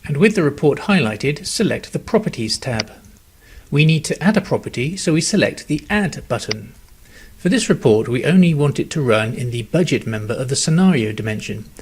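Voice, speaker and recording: A man narrating a scripted software tutorial in a measured, step-by-step instructional manner. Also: mid-range voice, animated, slight background noise.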